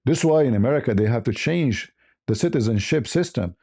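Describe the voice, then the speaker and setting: Arabic accented guy streaming his opinions, mellow mid voice, blunt, says things twice to hammer it in. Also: mid-range voice, lively intonation, a little fast, slightly rough. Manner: blunt, repeats phrases for emphasis. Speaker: livestream host. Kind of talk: impromptu opinionated monologue.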